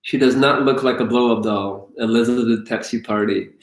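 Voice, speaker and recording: A man's voice: mid-range voice, steady tone, moderate pace, reverberant, poor audio. A man hosting a live question-and-answer stream, joking and teasing while he reads out viewer questions. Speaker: YouTube host.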